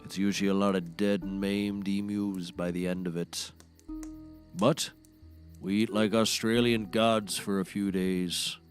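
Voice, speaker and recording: A man's voice: deep voice, little pitch movement, measured pace, soft background music. A man playing a folksy, eccentric, self-serious storyteller in a scripted comedy, rambling in a grandiose way. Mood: dry, wry. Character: folksy, eccentric, self-serious male roast speaker telling tall tales. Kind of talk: scripted audio comedy acting.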